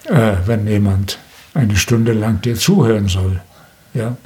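A man's voice: on the low side, takes it slowly, mellow. An older man speaking as a radio documentary maker in a conversational interview, explaining things reflectively.

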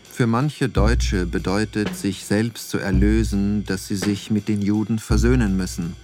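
A man's voice: fairly low pitch, steady tone, measured pace, over music, natural voice. A man reciting scripted radio-play lines in a declarative way.

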